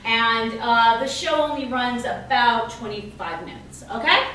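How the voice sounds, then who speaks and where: A woman's voice: a little high, unhurried, pushed and bright. A woman drama instructor and director giving an informal spoken introduction to an audience. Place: indoor venue with lobby, speaking to audience. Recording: very echoey room, distant mic, faint noise.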